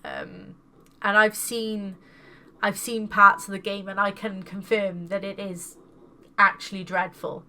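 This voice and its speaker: A woman's voice: medium pitch, animated, measured pace, bright, forceful tone. A woman casually showing off her new video game pickups, sounding congested from a cold and repeating words with enthusiasm. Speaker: gaming video host.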